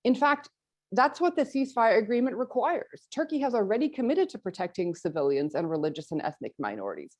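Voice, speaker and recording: A woman's voice: mid-range voice, darkish tone. A woman giving prepared opening remarks on her research, speaking earnestly and often repeating a word as she restarts a phrase. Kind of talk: prepared policy presentation.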